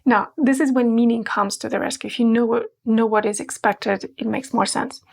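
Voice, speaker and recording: A woman's voice: a little high, steady tone, gravelly. A woman from Portugal, teaching a playful, emphatic scripted language lesson. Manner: playful, emphatic, slows to spell out words.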